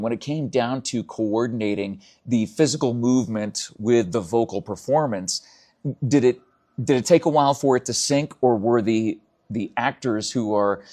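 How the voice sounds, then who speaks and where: A man's voice: mid-range voice, darkish tone. A man hosting an interview show, talking in an enthusiastic, emphatic, chatty way, as if promoting a local event.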